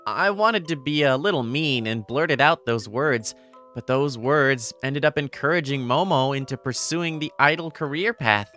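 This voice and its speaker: A woman's voice: rumbling low, moderate pace, a bit bright, faint music behind. A woman voicing an earnest, easily flustered teenage boy in a manga dub, narrating and speaking expressively. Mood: reflective. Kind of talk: manga dub dialogue and first-person narration.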